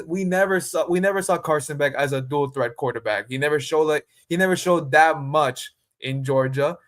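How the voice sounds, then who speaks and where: A man's voice: a little high, fairly bright tone. A man in his twenties, a guest reporter on a sports podcast, talking emphatically and casually, often repeating himself. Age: 20s.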